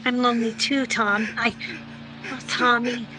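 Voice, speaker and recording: A woman's voice: a little high, level tone, unhurried, background noise, close to an everyday voice. An actress playing a tender, soothing young woman in a sentimental 1930s radio drama style. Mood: tender consoling. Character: tender young woman consoling, quietly yearning.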